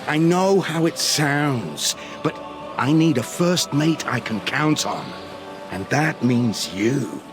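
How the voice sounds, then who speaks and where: A man's voice: medium pitch, expressive, slow pace. An actor playing an earnest male ship captain recruiting a crew in a video game cutscene. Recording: orchestral music behind the voice.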